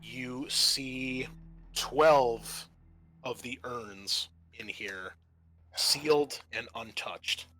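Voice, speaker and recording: A man improvising narration as game master of a tabletop game, halting and conversational. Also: mid-range voice, sharp tone, low-quality recording. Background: background music.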